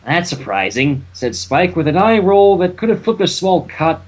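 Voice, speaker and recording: A man with a somewhat high, rough-edged voice hosts a casual reading. His intonation moves around a lot as he slips between excitable chat and storybook-style narration. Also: moderate pace. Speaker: host reading fan fiction aloud.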